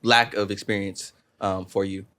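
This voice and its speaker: A young man co-hosts a podcast and asks careful, earnest questions, pausing on "um" as he goes. His voice is low and stays steady, with little movement in pitch. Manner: measured, earnest questioning with "um" pauses. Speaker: podcast co-host. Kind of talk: casual podcast conversation.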